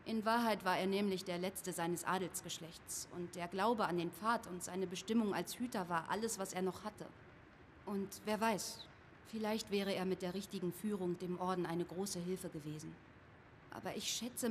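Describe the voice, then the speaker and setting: An actress playing a calm, thoughtful woman reflecting on a fallen comrade, in a game. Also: medium pitch, moderate pace, slight background noise, natural voice. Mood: reflective. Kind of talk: game voice acting.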